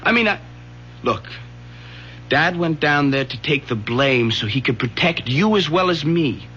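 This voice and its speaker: Higher-pitched, agitated young son. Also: male voice, animated, measured pace, bright, forceful tone. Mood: resentful. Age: young adult. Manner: agitated, pleading, argumentative. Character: frightened, defensive young son, resentful toward his mother. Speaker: actor in a radio drama. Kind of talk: radio drama acting. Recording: muffled old radio broadcast, faint hiss.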